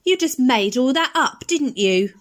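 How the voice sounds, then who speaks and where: Woman acting an indignant passenger, animated and highish. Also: a little slow. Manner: scolding, incredulous. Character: indignant, disapproving female stranded passenger. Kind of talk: amateur radio drama acting.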